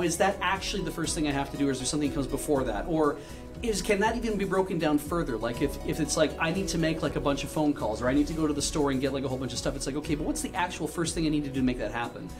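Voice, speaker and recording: A man's voice: fairly high pitch, very fast. A man hosting a livestream, giving casual advice and often searching for his words. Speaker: charity livestream host reading viewer messages. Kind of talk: casual, unscripted advice chat. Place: indoor livestream studio. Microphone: handheld-style microphone close at desk. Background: music in the background.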